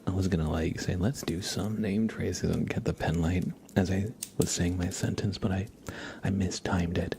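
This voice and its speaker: A man hosting an ASMR livestream in a hushed, unhurried, rambling way, speaking softly and casually close to the microphone. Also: low-pitched, steady tone, slight background noise. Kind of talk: live ASMR, soft whispering and quiet casual chat.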